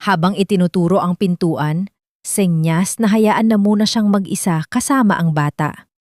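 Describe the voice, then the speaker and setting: A woman's voice: on the low side, normal speed, a little mellow, no echo, studio quality. A woman narrating a scripted audio drama in a dramatic storytelling style.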